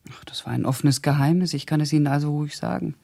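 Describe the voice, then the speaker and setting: Older woman, radio drama, raspy and creaky, blunt, sardonic, loyal old friend telling her memories. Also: sits low, steady tone, mellow. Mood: sardonic, confiding. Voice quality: husky, gravelly. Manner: blunt, sardonic, deliberate storytelling. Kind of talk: 1970s German radio drama, recounting memories.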